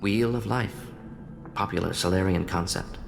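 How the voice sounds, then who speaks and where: A man's voice: low voice, normal speed, gravelly, noisy background. A man acting as a brilliant, defensive and remorseful alien scientist in a video game, speaking in clipped, analytical fragments that drop small words. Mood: earnest, insistent. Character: fast-thinking alien scientist doctor, brilliant, defensive, remorseful male Salarian. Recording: long reverb with music underneath.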